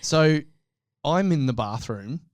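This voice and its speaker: Animated, jokey male podcast co-host. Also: on the high side, takes it slowly, no echo. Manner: sweary, jokey, acts out quoted speech. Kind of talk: casual banter and storytelling.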